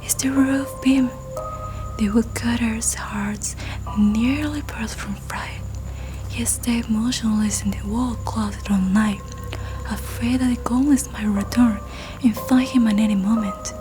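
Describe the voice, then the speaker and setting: A woman whispering a folk tale as ASMR storytelling, soft, hushed and unhurried. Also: on the high side, fairly even intonation, low-quality recording. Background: music.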